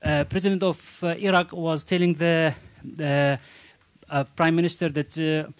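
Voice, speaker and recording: A man asking a panel questions, halting often with "uh" as he searches for words. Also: a little high, flat delivery, dry recording. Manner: halting, many "uh" pauses. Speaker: audience questioner.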